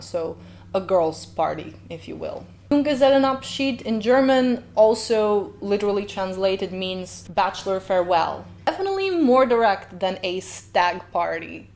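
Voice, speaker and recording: A woman's voice: mid-range voice, darkish tone. A woman, a linguist hosting a casual reaction video, chatty and playful with tangents. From Slovenia. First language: Slovenian. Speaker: host, linguist.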